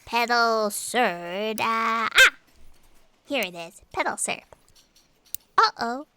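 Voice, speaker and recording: A woman's voice: a little high, big pitch swings, slow pace, bright, forceful tone, clear, resonant tone, exaggerated voice. A woman voicing a fussy, bookish female assistant who reads carefully from her notes. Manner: precise, bookish, muttering. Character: fussy female records assistant named Fran.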